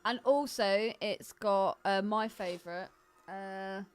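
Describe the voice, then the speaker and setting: A woman's voice: medium pitch, takes it slowly, clear, resonant tone. A woman co-hosting a podcast in casual, off-the-cuff film chat. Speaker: podcast co-host.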